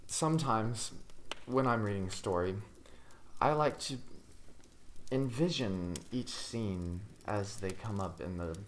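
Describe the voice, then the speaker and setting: Low, soft-spoken man reading bedtime stories. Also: slight background noise. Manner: gentle, unhurried, hushed delivery. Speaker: ASMR storyteller, host.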